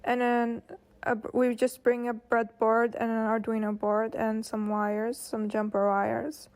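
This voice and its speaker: A woman's voice: on the high side, level tone, measured pace, darkish tone. A woman giving an informal explanatory project presentation, hesitant and often repeating herself as she goes.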